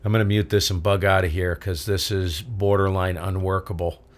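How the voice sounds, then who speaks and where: Deep-voiced man hosting a radio stream. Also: level tone. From Vermont. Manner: chatty, exclaims at what he sees on screen; spells call signs slowly and clearly on air. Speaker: amateur radio operator and stream host. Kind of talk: casual live-stream commentary and radio contacts.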